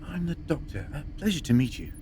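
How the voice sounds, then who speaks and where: A man playing a polite, hesitant time-travelling scientist with a gravelly voice in a science fiction audio drama. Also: on the high side, lively intonation, a little fast, mellow, close to an everyday voice. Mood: polite, tentative. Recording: noisy vehicle-like ambience behind.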